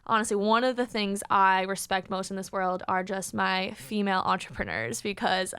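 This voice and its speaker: A woman's voice: medium pitch, normal speed, fairly bright tone, smooth, no echo. A woman hosting a podcast in casual conversation, talking enthusiastically and affirming her guest. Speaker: podcast host. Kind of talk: casual chat, interviewing.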